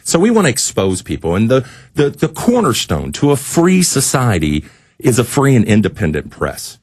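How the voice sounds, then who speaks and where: A man's voice: mid-range voice, huge pitch swings, normal speed, a little mellow, no echo. A man speaking as a radio studio guest in an impassioned, preachy rant, with emphatic lists and repeated phrases. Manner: preachy, emphatic, rhetorical listing and repetition.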